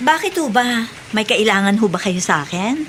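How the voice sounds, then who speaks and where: A woman's voice: mid-range voice, lively intonation, unhurried, a bit bright, a little hiss or hum. A woman with a Filipino accent playing a respectful, cautious schoolteacher in a radio drama. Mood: curious, cautious. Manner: respectful, hesitant. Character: tactful, respectful female schoolteacher, friendly and cautious.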